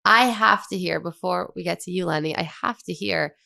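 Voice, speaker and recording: A woman's voice: lowish voice, moderate pace, gravelly, bright, forceful tone, dry recording. An American woman hosting a podcast in a conversational style, recorded in a studio. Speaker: podcast host.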